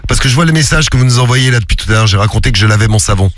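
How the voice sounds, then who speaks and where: A man hosts a morning radio show, talking at a quick clip with loose, joking banter. He rambles and restarts sentences often as he chats with his co-hosts. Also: mid-range voice, lively intonation, no room sound. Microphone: studio microphone.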